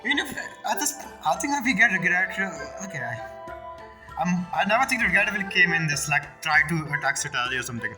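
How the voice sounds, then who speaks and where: Sweary, rambling game streamer over loud music. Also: mid-range voice, animated, brisk. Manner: sweary, rambling, non-native grammar. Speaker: gaming streamer reacting to game story. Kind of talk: casual reaction commentary.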